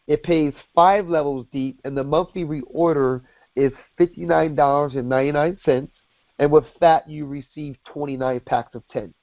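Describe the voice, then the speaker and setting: A man giving a sales presentation as a company president on a conference call, deliberately going through items step by step. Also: on the high side, steady tone, no echo. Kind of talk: sales presentation, explaining packages and earnings. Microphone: conference call line. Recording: dull lo-fi conference call audio.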